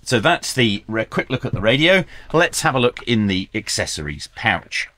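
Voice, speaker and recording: A man in his fifties presenting a scripted product review in a measured, clearly enunciated way. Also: fairly low pitch, sing-song, pushed and bright, no echo. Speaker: host of a radio review channel. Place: small indoor workshop.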